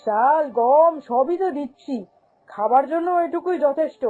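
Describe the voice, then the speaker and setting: A woman's voice: sits high, normal speed, phone-quality sound, faint music behind, natural voice. A woman voicing a greedy, domineering, haughty female shop boss in a cartoon, scolding and dismissive. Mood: angry, contemptuous. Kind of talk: cartoon fairy-tale voice acting.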